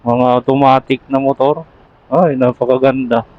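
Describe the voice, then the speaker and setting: A man's voice: medium pitch, steady tone, normal speed, muffled recording. A man chatting casually as a motorcycle vlogger through a helmet intercom while riding. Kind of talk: casual riding vlog chat. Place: outdoors, riding a motorcycle in traffic.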